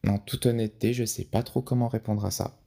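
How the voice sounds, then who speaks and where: Young male guest, low gravelly voice, mellow tone, halting and unpolished delivery. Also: steady tone. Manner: hesitant, many restarts and fillers. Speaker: podcast guest, photographer. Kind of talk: casual interview answers.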